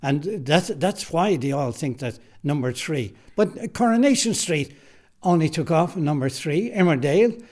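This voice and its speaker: A man's voice: fairly high pitch, expressive, moderate pace, darkish tone. An Irish man who writes and directs radio drama, answering interview questions casually and emphatically, often repeating his phrases, in a recording studio.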